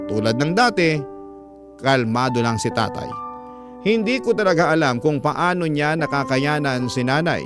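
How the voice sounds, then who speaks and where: A man's voice: on the high side, lively intonation, moderate pace, music in the background, close to an everyday voice. A man with a Filipino accent acting as a grown child who recounts family troubles in radio drama storytelling. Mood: reflective, troubled. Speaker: narrator of a letter-sender's story.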